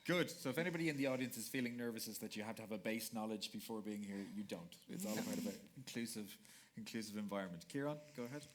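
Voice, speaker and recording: A man's voice: lowish voice, quick pace, slight background noise. A man hosting a live panel in front of an audience. He jokes and rambles with lots of fillers and restarts. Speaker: panel moderator. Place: event hall with audience.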